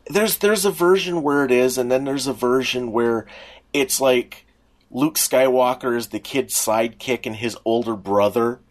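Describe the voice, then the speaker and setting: A man co-hosting a podcast, chatting informally and haltingly as he recalls things. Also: medium pitch. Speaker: podcast co-host. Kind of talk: casual chat.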